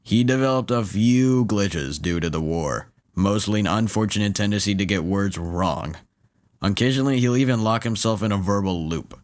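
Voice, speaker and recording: An actor playing a reluctant, overworked robot leader in an audio drama, dry and reserved. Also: male voice, lowish voice, moderate pace, a little mellow, close to an everyday voice. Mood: explanatory.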